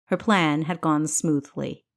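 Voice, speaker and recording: A woman's voice: deep voice, steady tone, slow. A woman reading scripted fiction as the narrator of her own audiobook.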